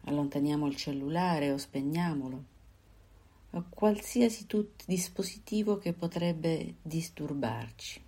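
A woman guiding a body scan meditation, giving calm, unhurried instructions in short phrases with pauses. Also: deep voice, husky. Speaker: meditation guide.

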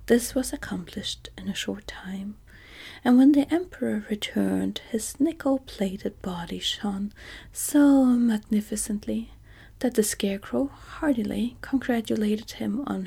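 A woman reads a storybook aloud in a hushed, measured whisper. Her voice is dark and mellow, with a breathy, husky grain and a touch of gravel. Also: mid-range voice.

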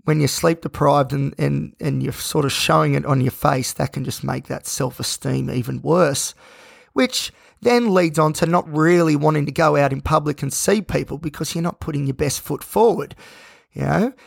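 A sleep-deprived man with a croaky voice, talking in a rambling, self-reflective personal monologue as host of his own show. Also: on the high side, normal speed, no echo, clean studio sound. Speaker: podcast host.

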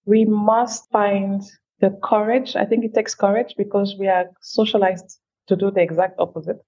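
A woman from Cameroon speaking as an interview guest at a middling pitch. She talks in a thoughtful, measured way, speeding up on side remarks. The audio sounds a little dull and lo-fi. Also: steady tone, warm tone, husky. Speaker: guest, feminist leader. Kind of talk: reflective interview answers.

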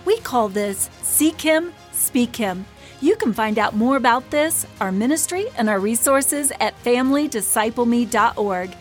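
A woman hosting a devotional podcast, earnest and encouraging, her voice hoarse and scratchy from a cold. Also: a little high, expressive, unhurried, faint music behind. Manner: earnest and encouraging, with short breathy laughs. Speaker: podcast host and ministry founder. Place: podcast studio.

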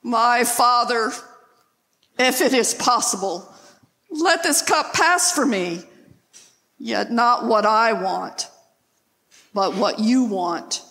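Slow, solemn woman reading as Jesus, deep reverb. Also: fairly bright tone. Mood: grieved. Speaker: scripture reader, church member. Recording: echoey church hall, long reverb.

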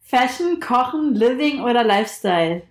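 A woman co-hosting a casual chat podcast, talking in a relaxed, teasing, colloquial way. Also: a little high, normal speed, roomy sound. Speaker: podcast co-host.

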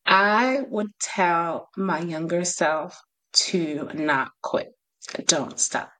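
Guest: a New York woman in her thirties, a bit low-pitched, laughs easily, talks in bursts. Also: very slow, pushed and bright, rough recording. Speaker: guest, professional home organizer. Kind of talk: casual podcast conversation.

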